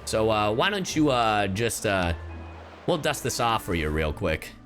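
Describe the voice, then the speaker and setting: A man doing casual livestream game commentary. His voice is a bit low but jumps around expressively. He is joking, irreverent and slangy, with soft game music behind him. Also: very animated, unhurried. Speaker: game streamer.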